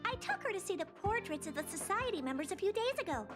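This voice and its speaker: Smug young female genius, video game, very high voice, animated, flippant. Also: quick pace. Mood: nonchalant. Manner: self-assured, flippant. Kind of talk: video game character acting. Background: game music.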